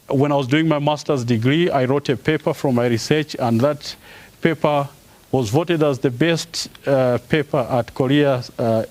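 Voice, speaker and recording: A man in his forties from Zambia, giving a formal academic presentation as a professor. He pauses often on "uh" and restarts his phrases. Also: medium pitch, a little mellow. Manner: halting, with frequent "uh" pauses and restarts. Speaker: professor giving an inaugural lecture.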